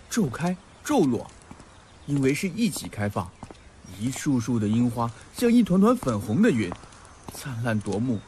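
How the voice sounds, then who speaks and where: A man's voice: medium pitch, a little mellow, slight background noise, lightly acted. A man playing a learned, earnest and sensitive young male student in an audio drama, talkative and heartfelt. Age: young adult. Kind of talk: Mandarin audio drama acting. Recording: background music under the voice.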